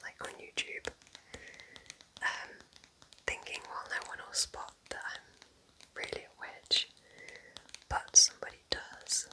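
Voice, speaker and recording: An ASMR host recommending books in a breathy, unvoiced whisper, soft, unhurried and gently enthusiastic. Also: rough recording.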